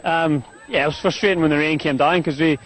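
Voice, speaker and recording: A man, a team captain answering post-match questions in a casual, halting way full of fillers and restarts. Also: a little high, fairly even intonation, noisy background.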